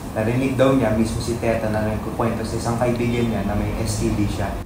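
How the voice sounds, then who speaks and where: A man's voice: on the low side, level tone, fast talker, lightly acted. A man with a Filipino accent acting an earnest, moralizing writer troubled by his conscience, in a dramatic reading. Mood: insistent. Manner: serious, moralizing, mixing English into Tagalog. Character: earnest, conscience-stricken male writer for a fiction website. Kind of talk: dramatic reading of a stage script. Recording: echoey room, loud background noise.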